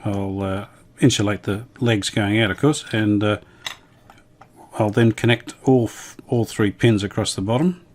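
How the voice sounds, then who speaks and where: Low-voiced man casually talking through hands-on work. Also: fairly even intonation, darkish tone, slight background noise. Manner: unhurried, matter-of-fact, thinking aloud. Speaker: hobbyist radio restorer presenting his video. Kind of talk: casual hands-on workshop demonstration.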